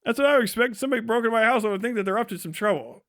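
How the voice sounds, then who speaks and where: A man's voice: very high voice, lively intonation, racing, a bit bright, no room sound, clean studio sound. An American man hosting a livestream, talking casually with blunt, opinionated, sweary commentary. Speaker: livestream host.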